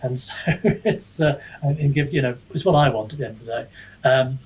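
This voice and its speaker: A man's voice: mid-range voice, brisk, a little mellow, husky, low-quality recording. A man chatting reflectively as an author being interviewed, hesitant and self-deprecating, often wandering mid-thought. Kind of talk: casual reflective podcast chat.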